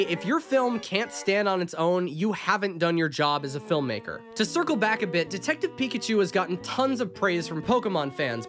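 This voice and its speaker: A narrator delivering a scripted video essay in an opinionated, sarcastic, emphatic way. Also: medium pitch.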